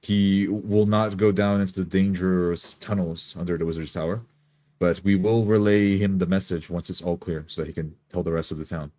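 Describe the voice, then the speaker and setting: A man playing a tabletop game, roleplaying and narrating his character's actions in a deliberate way. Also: sits low, fairly even intonation, warm tone, no echo.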